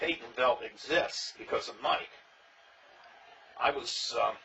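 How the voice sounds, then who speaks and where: An older man, interviewed about his early days in a reenactment society, telling stories with dry, plainspoken humor and a loud voice. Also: mid-range voice, far from the mic. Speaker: interviewee, former king of a historical reenactment society. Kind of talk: casual storytelling interview. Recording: dull sound, faint noise, from off mic.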